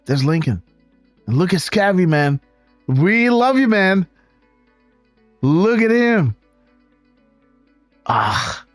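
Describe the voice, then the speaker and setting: Dutch-accented male stream host, unhurried but excitable, voice leaping up and down, dry close sound. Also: high voice, dry recording, soft background music. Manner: excitable, exclaiming, talks to viewers as guys. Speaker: live show host. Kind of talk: casual live-stream commentary on images.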